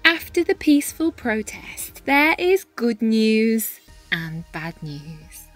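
A woman reading a children's picture book aloud in an expressive, animated manner with dramatic pauses and emphasis. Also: a little high, soft background music. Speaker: read-aloud storyteller, teacher-style reader.

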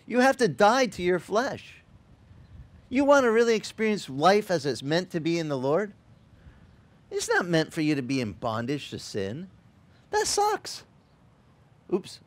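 A middle-aged male pastor whose first language is Italian, preaching outdoors by the sea into a headset mic. His voice sits a little high and swings widely in pitch as he talks. He keeps it casual and playful, slipping in joking asides between his points. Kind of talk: sermon. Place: outdoor beach service.

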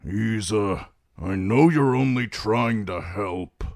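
Guy doing a grumbly old clan elder from an audiobook, gravelly, slow, impatient and proud. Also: on the low side, darkish tone, dry recording, studio quality, faint music behind. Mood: contrite.

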